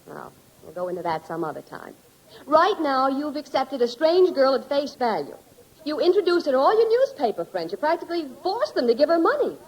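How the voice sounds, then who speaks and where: A woman playing a sharp, teasing American newspaperwoman with a wry, pointed delivery in 1940s radio drama style. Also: high voice, very animated, a little fast, rough recording. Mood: scolding, teasing. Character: sharp, teasing newspaperwoman on the police desk, skeptical, caring. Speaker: radio actor.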